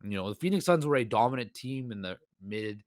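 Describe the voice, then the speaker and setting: A man hosting a solo sports podcast, with a hoarse voice and a rambling delivery full of fillers and restarts. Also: medium pitch, animated, fairly quick, dry recording, clean studio sound. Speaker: podcast host.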